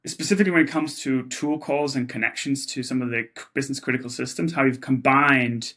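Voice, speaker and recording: A man's voice: medium pitch, fairly even intonation, a little fast, a bit bright. A man who runs a company, interviewing a guest and adding his own commentary between questions. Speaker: interviewer, company chief executive.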